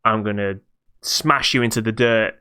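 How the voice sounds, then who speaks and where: A man chatting casually about video games as a podcast co-host, halting and repeating words as he thinks aloud. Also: lowish voice, steady tone, pushed and bright, clean tone.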